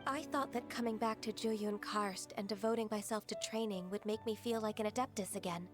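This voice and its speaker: A woman voicing a gentle, earnest half-immortal maiden in an anime video game, speaking in a soft, polite, formal and hesitant way. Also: mid-range voice, fairly even intonation, brisk, over music, lightly acted. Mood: troubled, hesitant.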